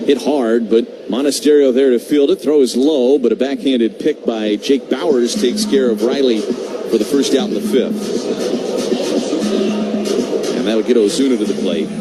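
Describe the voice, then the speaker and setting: A man doing live baseball play-by-play on radio, talking in measured short phrases with conversational asides. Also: medium pitch, over loud music. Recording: clearly noisy live radio broadcast.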